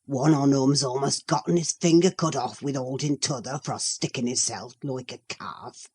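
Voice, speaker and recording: A woman voicing a surly, preachy elderly male servant with a Yorkshire dialect, in a slow grumbling way. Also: a bass voice, a little mellow, slightly rough, pitched below the performer's own voice, exaggerated voice.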